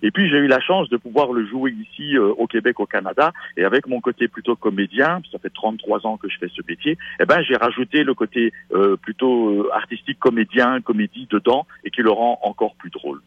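A man's voice: medium pitch, brisk, hardly any pauses, breathy edge, no echo. A man, a stage actor, chatting enthusiastically as a guest in a radio interview. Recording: dull lo-fi radio sound.

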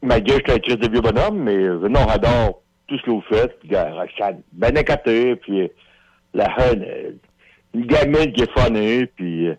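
A man's voice: lowish voice, lively intonation, normal speed, a little mellow, husky, no room sound. A man in his thirties with a Québécois French accent, calling in to a radio show and rambling hesitantly with many fillers. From Quebec. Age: 30s. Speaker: radio caller. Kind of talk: casual phone-in chat. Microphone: telephone handset. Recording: dull muffled phone line.

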